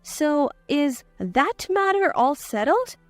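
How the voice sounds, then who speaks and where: A woman's voice: high-pitched, very animated, takes it slowly, no echo, soft background music, heavily acted. A woman voicing a supportive older mother in a dramatized story, earnest and motherly. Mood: apologetic.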